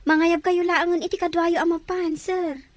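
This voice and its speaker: A woman's voice: extremely high pitch, steady tone, moderate pace, no room sound. A woman with an Ilocano accent acting a dutiful, worried young student in a radio drama. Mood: concerned, respectful.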